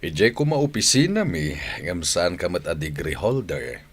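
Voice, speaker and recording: A man's voice: sits low, very animated, normal speed, breathy edge, exaggerated voice. A man acting a hearty, confident family man in an Ilocano radio drama. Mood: reassuring.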